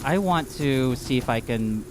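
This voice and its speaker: A man's voice: mid-range voice, lively intonation, unhurried, background noise. A man playing a tabletop game on a podcast, talking casually as he thinks things through. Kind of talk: casual group chat. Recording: music clearly behind the voice. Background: music.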